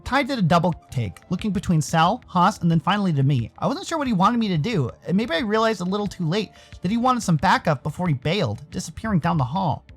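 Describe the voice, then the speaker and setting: An American man from Arizona giving theatrical, expressive narration of a visual novel as a storyteller. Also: high-pitched, quick pace, hardly any pauses, breathy edge, faint music behind. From Arizona, United States. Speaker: narrator and streamer.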